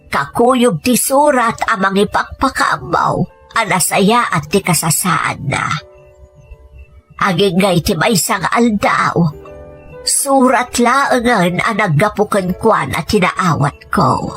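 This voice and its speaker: A woman's voice: medium pitch, animated, takes it slowly, fairly bright tone, soft background music. A woman playing a caring, sorrowful elderly grandmother in an Ilocano-accented audio drama.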